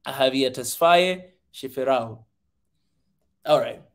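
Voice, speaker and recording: A man with a Tanzanian English accent hosting a livestream and talking straight to his viewers, energetic, often repeating words as he goes. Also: mid-range voice, slow. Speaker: livestream host. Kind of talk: casual livestream talk.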